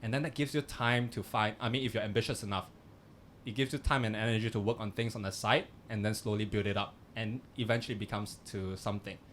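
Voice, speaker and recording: A man's voice: fairly low pitch, fairly even intonation, fast talker, a bit bright, slight background noise. Chatty young male podcast host. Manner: chatty, loose phrasing, frequent fillers. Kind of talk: casual podcast interview.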